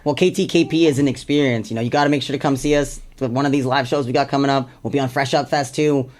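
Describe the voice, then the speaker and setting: Fast-talking Canadian music artist in conversation. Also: medium pitch, steady tone. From Hamilton, Canada. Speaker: music artist being interviewed. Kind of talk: casual chat.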